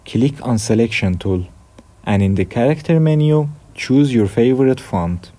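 A young man from Iran with an Iranian accent, hosting a software tutorial with plain step-by-step instructions. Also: mid-range voice, lively intonation, unhurried. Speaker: tutorial host. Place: dim room at a desk.

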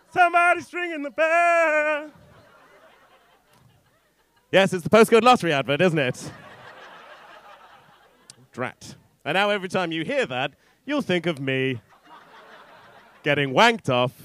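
A man in his thirties doing informal stand-up into a handheld mic, rambling, halting and sweary. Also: a little high, fairly bright tone, clear, resonant tone. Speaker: stand-up comedian. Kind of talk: stand-up comedy set, informal crowd banter. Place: on stage in a small indoor comedy club. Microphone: handheld stage microphone.

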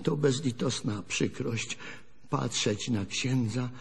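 A folksy old grandfather in a radio play, spinning wry, wistful tales in rustic speech. His voice is husky and creaky, with an unhurried delivery. It rings in a long reverberant space. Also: medium pitch, full character voice. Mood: confessional, sorrowful. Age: older. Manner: rambling folksy storytelling, chuckling, rustic colloquial speech. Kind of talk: radio drama acting.

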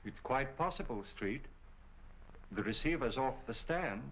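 Calm, courteous gentleman sleuth. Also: medium pitch. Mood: calm, inquiring. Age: middle-aged. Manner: polite, measured, unruffled. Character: calm, courteous gentleman detective, middle-aged man. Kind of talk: 1940s film acting. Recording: muffled, noisy old 1940 film soundtrack.

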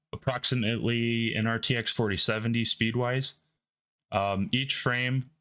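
Man, tech demo host, mid-pitched voice, relaxed, thinking aloud with ums, screen-recording voice-over. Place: home workstation.

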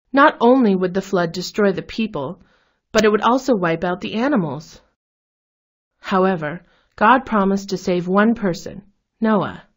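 A woman reads a children's Bible story as a scripted narration. Her voice is mid-pitched and husky, with music playing behind her.

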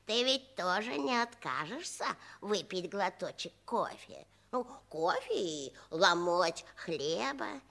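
A woman's voice: mid-range voice, big pitch swings, slow, gravelly, hardly any pauses, a bit bright, exaggerated voice. An actress playing a kindly, grumbling old woman in a radio play, sighing and muttering as she draws out her words. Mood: fussing, kindly. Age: older. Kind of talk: 1960s Soviet radio play acting.

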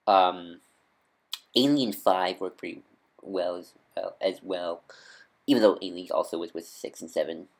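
Man, low-pitched, nasal tone, casual vlog commentary, halting, rambling, self-correcting. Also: creaky. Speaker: YouTube vlogger and podcaster. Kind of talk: casual off-the-cuff fan commentary.

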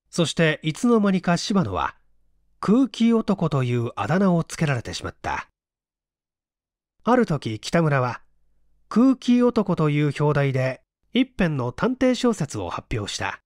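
A male narrator reads fiction in a measured, dramatic way. His voice is on the high side with animated intonation. The recording is very dry and close. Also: no room sound. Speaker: audiobook reader.

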